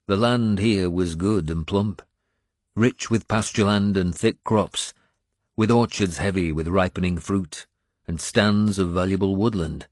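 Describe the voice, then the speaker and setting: A male audiobook narrator with a low voice reads first-person fiction. His storytelling is measured and dramatic, taken slowly. The recording is dry and close. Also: darkish tone, no room sound.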